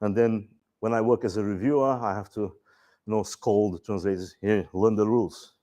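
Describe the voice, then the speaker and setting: A man with a Bulgarian accent giving a conference presentation on software features, relaxed and dryly humorous, with frequent fillers and restarts. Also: fairly low pitch, normal speed.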